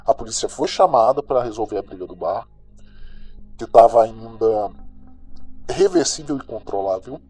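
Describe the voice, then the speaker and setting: Man acting a cynical Brazilian crime-news host, slightly husky. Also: fairly low pitch, lively intonation, moderate pace, long pauses, a little mellow. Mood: sardonic, animated. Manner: colloquial, sardonic, slangy. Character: cynical, gossipy male host of a small-town crime news show. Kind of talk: audio drama monologue as chatty radio host. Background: music.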